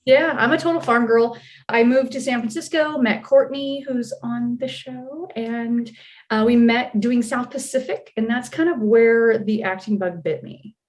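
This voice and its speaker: A woman's voice: fairly high pitch, measured pace. An American woman, an actress being interviewed, telling stories casually in a chatty, self-deprecating way. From California and Oregon, United States. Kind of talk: casual storytelling chat.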